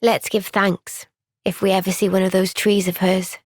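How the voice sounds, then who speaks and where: An actress voicing a gentle, kind and steady young woman soldier in a game's cutscenes, with a Welsh accent and a soft-spoken, caring, thoughtful way of talking. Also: mid-range voice, moderate pace, no echo, studio quality, close to an everyday voice. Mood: calm. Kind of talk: video game cutscene dialogue. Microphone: close studio microphone.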